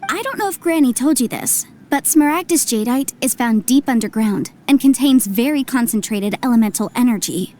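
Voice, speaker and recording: A woman's voice: fairly high pitch, animated, moderate pace, hardly any pauses, natural voice. A woman playing a shrewd, confident young legal adviser in an anime-style video game, articulate, explanatory and businesslike. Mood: matter-of-fact. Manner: articulate, explanatory, self-assured. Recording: soft music behind the voice. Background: game music.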